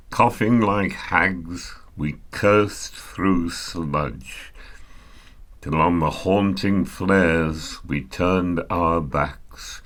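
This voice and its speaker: A man giving a grave, dramatic poetry recital with deliberate phrasing and long pauses. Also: deep voice, fairly bright tone. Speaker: poetry reader.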